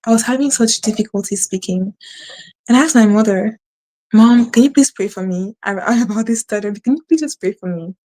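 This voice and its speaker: A woman host who talks fast with a stutter and many restarts, giving earnest faith-based advice to her audience. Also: mid-range voice, warm tone, low-quality recording. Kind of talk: casual spiritual advice to camera.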